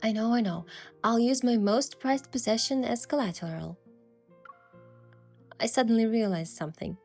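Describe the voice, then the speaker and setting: A woman playing an earnest, playfully cheeky young hunter heroine, mixing reflective first-person story narration with expressive video game dialogue. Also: on the high side, moderate pace, soft background music.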